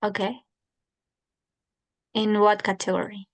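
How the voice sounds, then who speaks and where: Slow, giggly teenage woman. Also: medium pitch, flat delivery, vocal fry, no echo. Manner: chatty, giggly, teasing. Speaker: university student, interviewee. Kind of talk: casual conversation practice.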